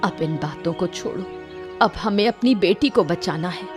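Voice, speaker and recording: A woman's voice: on the low side, normal speed, music in the background, close to an everyday voice. A woman playing a proud, protective village mother in a cartoon, speaking with firm emotion.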